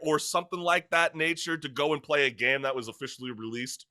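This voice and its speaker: Hyped guy hosting a talk show, really bright forceful voice, kinda high, slangy. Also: brisk. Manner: animated, slangy.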